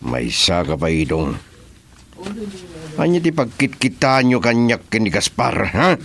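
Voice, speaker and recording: A powerful boss in a radio drama, speaking very low with lively swings in pitch. His Ilocano accent is clear, and he addresses his henchmen with command. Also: measured pace, full character voice. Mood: proud, firm. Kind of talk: Ilocano radio serial acting.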